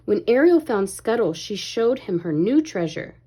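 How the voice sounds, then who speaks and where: A woman reading a children's story aloud in an expressive, animated way, as if to young listeners. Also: mid-range voice, normal speed, husky. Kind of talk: expressive read-aloud narration, pausing to ask children questions.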